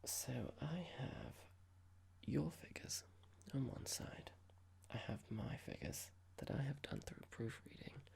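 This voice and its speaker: A hushed, very creaky voice put on by a performer as a horrible office boss in an ASMR roleplay. The boss is coldly menacing yet mock-polite, drawing out patronising questions with deliberate care. The pitch swings noticeably between breathy whisper and fry. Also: sing-song, exaggerated voice. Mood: condescending, stern.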